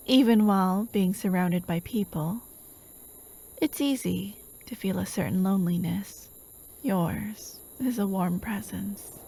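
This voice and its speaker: A woman playing a doting, possessive female hypnotist in a soft-spoken ASMR roleplay, gentle and coaxing, often slipping into a whisper. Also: mid-range voice, creaky, husky, exaggerated voice. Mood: soothing, wistful. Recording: steady outdoor night ambience, chirping crickets. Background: crickets and night insects.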